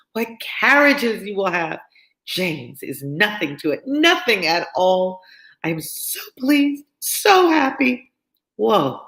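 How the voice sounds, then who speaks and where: A woman voicing an overexcited, gushing middle-aged mother from a Regency novel, with breathless exclamations and drawn-out words. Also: medium pitch, a bit bright, pitched above the performer's own voice, full character voice.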